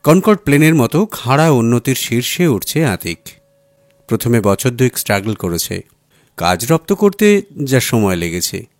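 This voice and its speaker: A man's voice: medium pitch, sing-song, moderate pace, no room sound. A man reading a literary story aloud as a dramatised audiobook narration. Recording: music playing behind the voice. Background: music bed.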